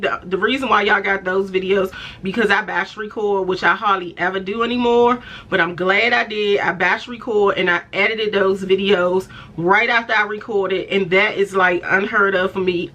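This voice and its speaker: Chatty woman in her forties, a little raspy. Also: medium pitch, fairly even intonation, normal speed, few pauses, sharp tone, lo-fi sound. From Washington DC area. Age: 40s. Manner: chatty, affectionate, many fillers, laughs often. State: slightly raspy and stuffy after illness. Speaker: fashion vlogger. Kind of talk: casual talk to camera.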